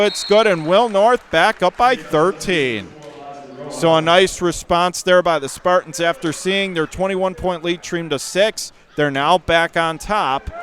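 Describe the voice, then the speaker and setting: A man calling high school basketball on radio, with rapid play-by-play that jumps to shouts on big shots, in a school gym. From Western New York. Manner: rapid calls that jump to shouts on big plays.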